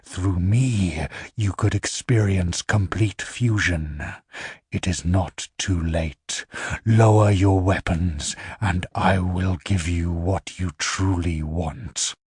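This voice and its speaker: A man voicing a cold, seductive inhuman creature in a spoken story, low and hushed, at times whispering. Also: takes it slowly, breathy edge, dry recording, studio quality, heavily acted. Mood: coaxing. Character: sinister seductive infernal creature, calm and cold.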